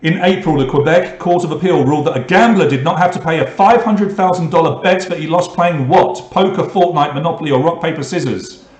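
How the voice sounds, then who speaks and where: A man's voice: on the high side, a little fast, a little mellow, roomy sound. A man from England hosting an online pub quiz, reading out questions and scores with joking asides to the players. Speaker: quiz host.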